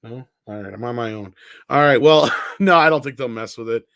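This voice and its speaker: A man's voice: medium pitch, a little fast, creaky, fairly bright tone, smooth, no room sound, clean studio sound. A man hosting a casual chat show, reading viewer questions aloud and swearing bluntly as he answers them. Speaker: podcast host reading super chats.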